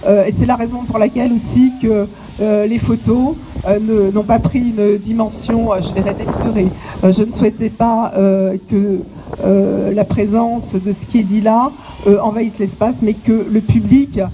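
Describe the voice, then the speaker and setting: A woman from French Guiana gives an opening speech outdoors, at a middling pitch. She pauses often, fills gaps with hesitations and restarts her phrases. The recording carries clear background noise and clicks. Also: fairly even intonation. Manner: halting, many hesitations and restarts. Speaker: exhibition curator. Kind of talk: inaugural speech to visitors. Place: outdoors on an island site.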